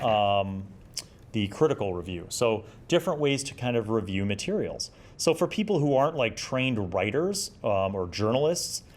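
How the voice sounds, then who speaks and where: Middle-aged male YouTube host, lowish voice, off-the-cuff and conversational, browsing and commenting as he goes. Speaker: YouTube host reviewing vintage gaming magazines. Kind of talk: casual commentary, thinking aloud while browsing.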